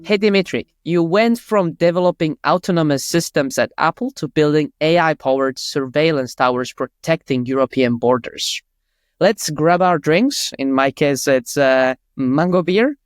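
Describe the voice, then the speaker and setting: Higher-pitched male podcast host, dry sound. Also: normal speed, clean tone, no room sound. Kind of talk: casual chat, interviewing.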